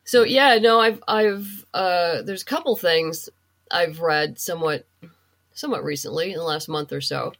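A woman's voice: fairly low pitch, normal speed, creaky, a bit bright. A woman being interviewed as a podcast guest, explaining things thoughtfully in a casual, conversational way.

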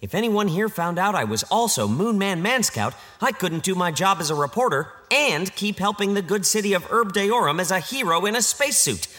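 A bright, high-pitched gee-whiz reporter hero, earnest and a little bumbling. The delivery swoops theatrically like an old radio serial. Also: male voice, lively intonation, brisk, hardly any pauses, no echo, exaggerated voice. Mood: secretive, earnest. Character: earnest, bumbling male reporter, secretly a superhero. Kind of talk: 1930s heroic radio play acting.

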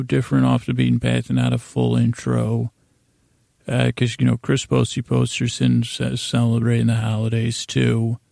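A man hosting a podcast with a gravelly, creaky voice, rambling informally in a halting, meandering way, repeating himself and trailing off mid-thought. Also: mid-range voice, warm tone, no echo, clean studio sound. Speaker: podcast host.

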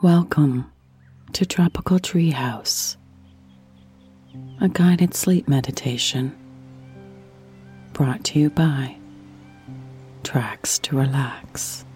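A woman narrating a guided sleep meditation in a soft, breathy, soothing voice that nears a whisper, with long pauses. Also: low voice, fairly even intonation. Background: faint music.

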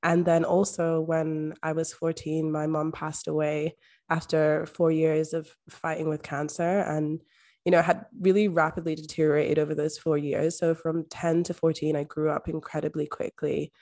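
A young woman from Scotland with a soft Scottish accent, a podcast guest speaking openly and reflectively in a casual interview. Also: deep voice, level tone, normal speed. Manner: open, reflective, uses "like" often. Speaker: podcast guest, mindset coach.

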